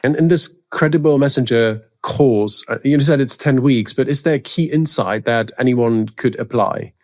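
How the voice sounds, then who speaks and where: Casting note: male podcast host with a mid-pitched voice, asks quick clarifying questions. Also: darkish tone. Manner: brief, curious follow-up questions. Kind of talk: interviewer asking questions.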